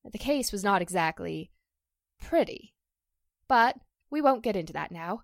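A woman playing a distressed, pleading stage actress in a 1940s-style radio drama, halting and emotional. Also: medium pitch, lively intonation, vocal fry, no room sound, clean studio sound. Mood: hurt, subdued. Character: distressed stage actress accused of a publicity stunt, pleading, wounded.

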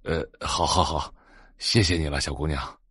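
A low-voiced, deferential middle-aged driver. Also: male voice, fairly even intonation, moderate pace, fairly bright tone, breathy edge, no echo, studio quality, full character voice. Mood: flustered gratitude. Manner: hesitant, deferential. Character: humble, apologetic middle-aged male driver. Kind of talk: audio drama acting.

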